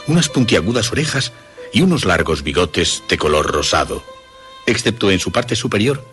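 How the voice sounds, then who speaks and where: Very deep male storyteller, lively delivery. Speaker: narrator. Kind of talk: scripted suspense story narration. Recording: music behind the voice. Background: music.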